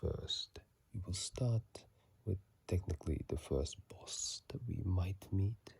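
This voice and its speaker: A video host whispering softly in a breathy, hushed voice, reading aloud and adding casual commentary in an ASMR style, close to a phone mic. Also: deep voice, lively intonation, takes it slowly, no echo. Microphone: phone mic held close.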